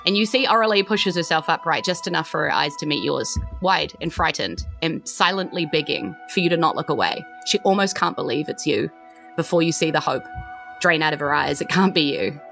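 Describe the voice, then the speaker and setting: A woman's voice: sits low, animated, a little fast, vocal fry, fairly bright tone, soft background music. A woman hosting and narrating a tabletop role-playing game. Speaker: game master and host of a tabletop RPG podcast. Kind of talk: narrating a tabletop game, part recap, part casual chat.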